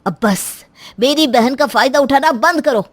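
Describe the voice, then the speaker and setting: A woman voicing a protective, angry adult brother in a moral story, indignant and forceful. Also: sits high, unhurried, no echo, voice pushed up.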